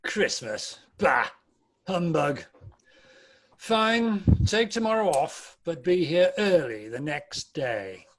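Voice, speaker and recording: Miserly old Victorian uncle played by a man, scornful and curt, fairly high male voice, very unhurried delivery. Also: animated. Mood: grumpy, grudging. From United Kingdom. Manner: curt, dismissive, sarcastic. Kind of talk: amateur table read of a Victorian Christmas story.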